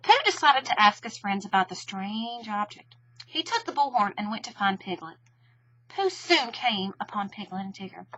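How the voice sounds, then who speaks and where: A woman's voice: medium pitch, very animated, moderate pace, bright, forceful tone, muffled recording. A woman narrating a children's storybook expressively.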